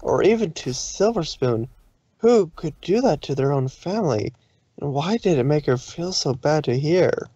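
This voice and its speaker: A man's voice: a little high, animated, moderate pace, warm tone, husky, no room sound, muffled recording. Male story narrator, dry recording. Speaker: fan fiction reader, narrator. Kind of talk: dramatic scripted story narration.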